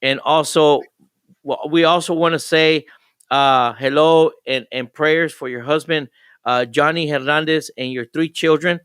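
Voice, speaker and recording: A man hosting a radio talk show and interviewing a guest, speaking in a halting way with many restarts. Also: a little high, steady tone, fairly bright tone, clean tone, no echo, clean studio sound. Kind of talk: casual interview.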